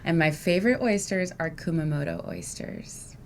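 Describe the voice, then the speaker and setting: A woman's voice: low-pitched, huge pitch swings, moderate pace, slight background noise. A woman hosting a podcast interview, chatting casually and encouraging her guest. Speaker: podcast host.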